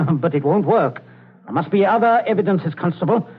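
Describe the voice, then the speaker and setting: An actor playing a pompous, scheming middle-aged English father with a British accent and a husky voice, in 1940s radio drama style. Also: high voice, animated, moderate pace. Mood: haughty, irritated. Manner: haughty, clipped, dismissive. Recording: tinny, narrow 1940s radio recording.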